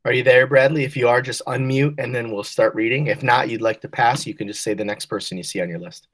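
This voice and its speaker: A teacher with a slightly husky, mid-pitched voice leads a remote read-aloud class. The delivery is encouraging, full of short prompts, word corrections and affectionate nicknames for the pupils. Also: fast. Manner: encouraging, calls pupils by pet names. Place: remote video class call. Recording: online class call.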